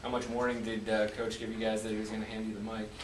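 A man asking informal questions as a sports reporter at a press conference, haltingly rephrasing as he goes. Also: fairly low pitch, completely flat delivery, distant mic. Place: indoor press conference room. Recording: echoey, noisy room, off mic.

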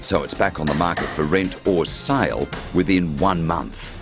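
A man with an Australian accent narrates a television news report. His voice is low and his delivery is scripted, with loud music running underneath. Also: normal speed.

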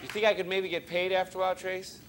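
A man's voice: sits high, flat delivery, fast talker, very noisy. A man with a Boston accent hosting a live call-in TV show, teasing and joking with guests and callers. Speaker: TV talk show host. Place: TV studio with audience.

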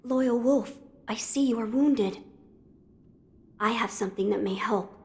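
A woman playing a composed young boy lord in a video game, speaking in a formal, measured manner. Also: a little high, husky. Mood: gentle, serious. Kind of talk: video game cutscene acting.

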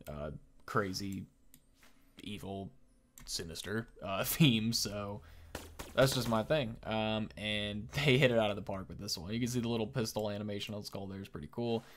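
A man's voice: fairly low pitch, slight background noise. A man in his thirties giving casual, enthusiastic, informal gameplay commentary as a video creator. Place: small indoor room at home, on webcam.

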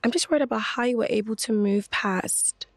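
A woman's voice: a little high, a little fast, creaky, dry recording. A woman, an actress guesting on a podcast, improvising comic scenes in a theatrical, giggly way. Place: studio set with red wood walls. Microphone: studio mic on a boom arm.

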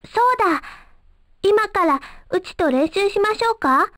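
A woman's voice: very high voice, lively intonation, a little slow, fairly bright tone, no room sound, studio quality, exaggerated voice. A woman playing a polite, naive, cheerful young street-performer girl in a video game, with a guileless, girlish manner. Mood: bright, encouraging.

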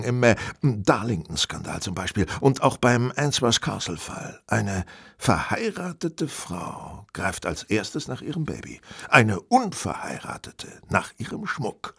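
Ein Schauspieler spielt in einem Hörspiel einen selbstsicheren, berechnenden Detektiv, der bestimmt und belehrend spricht. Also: male voice, fairly low pitch, creaky, fairly bright tone, husky, heavily acted. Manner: self-assured, deliberate, instructive. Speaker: lead actor in an audio drama. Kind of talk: German audio drama dialogue.

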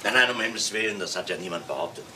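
An actor playing a polite, measured visiting detective in a radio crime drama, heard from off-mic. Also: male voice, sits low, animated. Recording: distant voice, hissy old radio tape.

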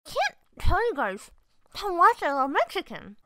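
A woman's voice: very high-pitched, wildly swooping pitch, unhurried, bright, forceful tone, exaggerated voice. A woman playing a cheerful, bubbly teenage girl with a big appetite in an audio drama. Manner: bubbly, talking between bites.

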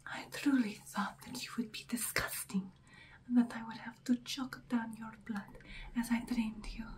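A woman's voice: on the high side, steady tone, lightly acted, poor audio. A woman playing an imperious, hungry, centuries-old vampire bride in a whispered, ASMR roleplay, close to the microphone. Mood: menacing, gloating. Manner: breathy whispering, drawn-out theatrical delivery.

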